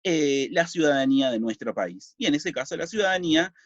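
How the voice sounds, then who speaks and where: Lively Uruguayan man teaching a civics class. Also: fairly high pitch, fairly quick, no room sound. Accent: Uruguayan Spanish. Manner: explanatory, asks and answers his own questions. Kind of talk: recorded video lesson. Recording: dull lo-fi dry recording.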